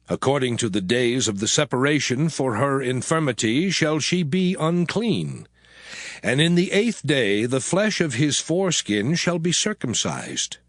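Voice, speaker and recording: A man voicing the Lord giving laws in a dramatized scripture reading, deliberate and emphatic. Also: mid-range voice, no echo, clean studio sound.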